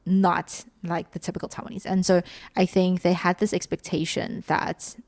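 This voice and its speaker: Podcast co-host: young woman from South Africa, casual, talkative, slightly fry-laden delivery. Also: lowish voice, level tone, normal speed, a little mellow, dry recording. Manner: chatty, filler-heavy, ends points with "right?". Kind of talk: casual chat.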